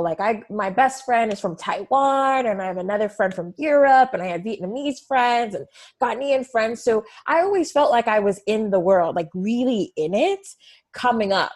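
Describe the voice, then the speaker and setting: A woman's voice: on the high side, expressive, normal speed, a little mellow. Chatty young woman from Southern California. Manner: enthusiastic, talkative, laughs often. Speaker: podcast guest. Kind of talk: casual interview chat.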